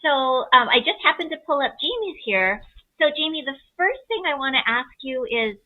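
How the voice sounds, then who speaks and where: A woman speaking as an astrologer, explaining a chart reading conversationally in a gentle, encouraging way with simple analogies. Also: high-pitched, sing-song, a little fast, phone-quality sound.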